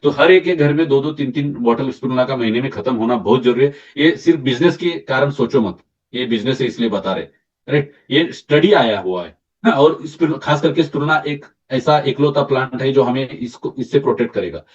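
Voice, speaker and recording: A man with a slightly hoarse throat gives a product training talk, explaining slides and checking in with "right?" as he goes. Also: mid-range voice. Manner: mixes English terms into Hindi, halting restarts. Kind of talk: sales training presentation. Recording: distant mic, dull lo-fi sound.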